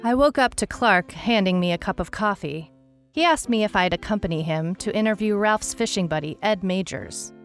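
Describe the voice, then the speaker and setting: A woman playing a wry, world-weary private detective, narrating her case log in a dry, deadpan noir style. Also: mid-range voice, moderate pace, soft background music, lightly acted. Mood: dry, matter-of-fact. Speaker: narrator, lead actor.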